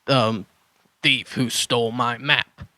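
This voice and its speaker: Bumbling dinosaur hermit, acted by a man, muddled words, forceful bright tone, dry sound, kids' audio drama. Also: mid-range voice, steady tone, takes it slowly, no echo. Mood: matter-of-fact. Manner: flustered word-swapping, correcting himself. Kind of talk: children's audio drama acting.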